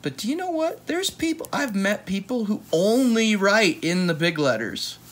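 A man's voice: high voice, big pitch swings, normal speed, a bit bright. An American man reading a picture book aloud to kids in a casual, playful way with joking asides.

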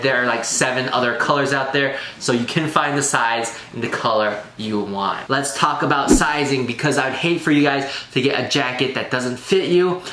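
A man in his thirties talks casually to camera like a product reviewer. His voice sits at an average male pitch with an upbeat delivery. The room adds a clear echo, and there is some background noise. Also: sharp tone. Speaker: menswear review vlogger. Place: small bare room at a desk, indoors.

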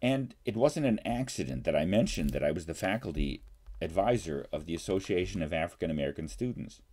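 A man in his sixties, a professor giving an explanatory talk in formal, deliberate, measured phrasing. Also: deep voice, expressive, dark tone. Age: 60s. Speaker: college professor. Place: small home study, indoors.

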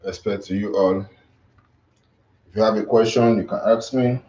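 A man's voice: on the low side, moderate pace. A young man chatting casually to viewers while flexing, filmed on a phone in a small home kitchen. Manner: relaxed, loose, chatting while posing. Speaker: bodybuilding vlogger. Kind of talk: casual chat to live viewers. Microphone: phone camera across the room. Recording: roomy, distant, dull phone audio.

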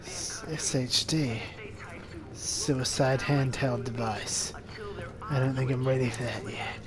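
A man doing sarcastic, crude video game commentary in a deadpan way. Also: mid-range voice, takes it slowly, a crowd in the background. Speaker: gameplay commentator voicing the protagonist's thoughts. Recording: game ambience and noise behind voice. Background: video game action sounds.

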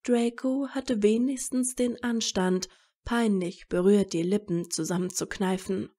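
A woman's voice: medium pitch, fairly even intonation, a little slow, dark tone. A woman narrating a scripted story.

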